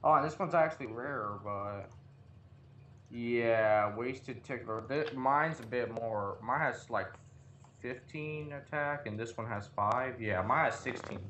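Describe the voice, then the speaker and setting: A man's voice: mid-range voice, takes it slowly, bright, forceful tone. Sweary male gamer, noisy recording. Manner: sweary, slangy. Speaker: gamer in a co-op let's play. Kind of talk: casual gaming commentary with a friend.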